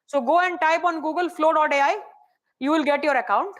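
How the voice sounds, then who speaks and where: A soft-spoken woman with an Indian English accent, teaching a hands-on AI workshop and checking in with the room often. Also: sits high, a little fast. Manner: chatty, checks in often with "right?" and "okay?". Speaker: AI workshop presenter.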